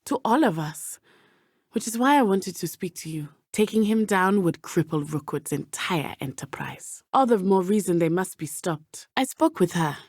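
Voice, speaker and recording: A woman's voice: lowish voice, animated, moderate pace, darkish tone, dry recording, studio quality. A woman with a Zimbabwean accent voicing a brave, earnest teenage witch in a video game, speaking in formal, precise phrases. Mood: serious, determined. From southern Africa (Matabeleland). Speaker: student companion character in a video game.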